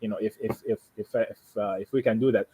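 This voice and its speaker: A man from Sudan, a native Arabic speaker with a Sudanese Arabic accent, talking casually and haltingly as he explains himself, often repeating and rephrasing. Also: on the low side, fairly even intonation, warm tone, slightly rough, no echo, low-quality recording. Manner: halting, repeats and rephrases, frequent "you know". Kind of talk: casual conversation, explaining personal plans.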